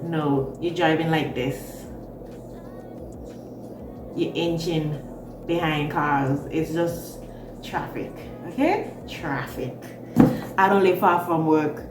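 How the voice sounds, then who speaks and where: A woman's voice: low-pitched, slow, pauses often, echoey room, distant mic, loud music behind the voice. A woman in her thirties from Jamaica with a Jamaican accent mixed with Bahamian, chatting casually and expressively to viewers as a vlogger. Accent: Jamaican with Bahamian mix. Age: 30s. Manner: chatty, expressive, repeats words for emphasis. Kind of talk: casual storytime chat.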